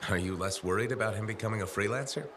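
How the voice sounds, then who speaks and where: A man's voice: fairly low pitch, quick pace, background noise, natural voice. A man playing an earnest freelancer hero. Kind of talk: video game cutscene acting.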